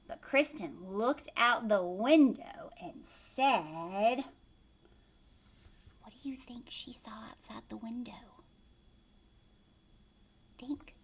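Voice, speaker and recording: A woman teacher reading a storybook aloud to children, expressive and addressing young listeners directly. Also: fairly high pitch, drawn-out words, pauses often, husky.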